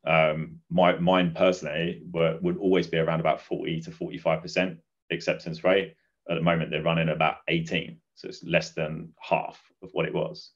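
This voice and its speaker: A middle-aged man answering as a podcast guest, explaining things in a measured, conversational way. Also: deep voice, little pitch movement. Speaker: guest, recruitment business advisor. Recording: dull, muffled lo-fi audio.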